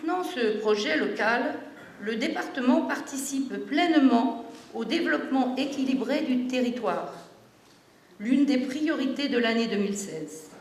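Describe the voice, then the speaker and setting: A woman reads a formal prepared address as a public official. Her voice is high. The recording is echoey, with faint background noise. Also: expressive, unhurried. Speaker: departmental official.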